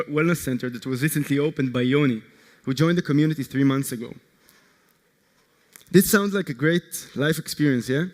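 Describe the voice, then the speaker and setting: A man from Israel, a startup co-founder, giving an earnest, energetic conference pitch that puts direct questions to the audience. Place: large conference hall. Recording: long hall reverb.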